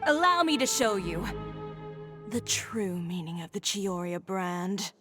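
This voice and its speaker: A woman voicing a proud, composed young fashion designer in a video game, speaking with assertive, deliberate poise over music. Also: mid-range voice, very animated. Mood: cool, proud. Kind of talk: video game voice acting, scripted. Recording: loud music under the voice.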